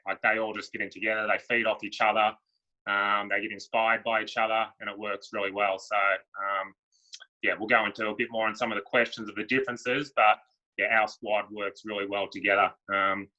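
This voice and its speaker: Bright-toned Australian man, lo-fi sound. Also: on the low side. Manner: relaxed, many um fillers. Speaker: para athletics coach. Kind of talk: casual panel Q&A. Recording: dull lo-fi sound.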